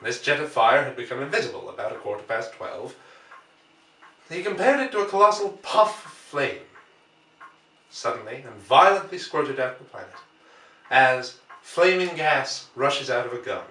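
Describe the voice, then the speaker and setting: A man reading a classic novel aloud as an audiobook narrator, with deliberate phrasing and dramatic emphasis. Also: medium pitch, very animated, sharp tone. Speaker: audiobook reader. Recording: roomy, echoey, distant from mic.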